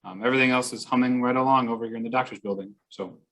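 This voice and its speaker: A man gives a client services update on a conference call. His voice is medium in pitch, and he talks casually with a lightly wry touch. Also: fairly even intonation, racing, a bit bright. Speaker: client services staff member. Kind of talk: informal work update on a call.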